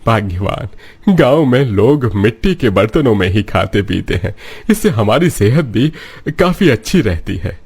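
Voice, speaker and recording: An elderly father-in-law from a cartoon village story played by a man, plain-spoken and opinionated. His voice is fairly low, gravelly and very husky. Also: sing-song, unhurried, a little mellow, heavily acted. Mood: persuading. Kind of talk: cartoon moral story dialogue.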